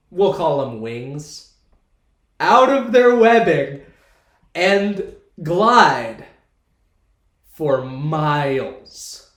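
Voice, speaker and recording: A young man co-hosting a podcast, chatting in a laid-back, rambling way with a stuffed-up nose. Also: on the high side. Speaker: podcast co-host. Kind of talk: casual chat. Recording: echoey room.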